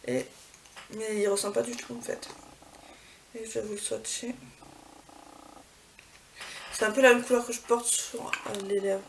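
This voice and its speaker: A woman's voice: a little high, steady tone, a little slow, pauses often. A woman vlogging a casual beauty haul, chatty, with many fillers and self-corrections. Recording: far from the mic, clear background noise.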